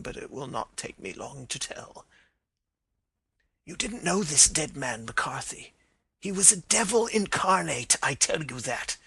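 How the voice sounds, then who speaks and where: A man's voice: medium pitch, vocal fry, pushed and bright, breathy edge, full character voice. A man voicing a dying older man who pleads and confesses with emotion. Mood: grim. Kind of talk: dramatized reading of a detective story.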